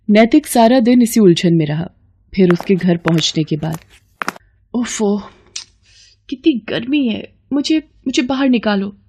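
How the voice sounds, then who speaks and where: A woman's voice: mid-range voice, mellow. A woman narrating a scripted moral story as its storyteller.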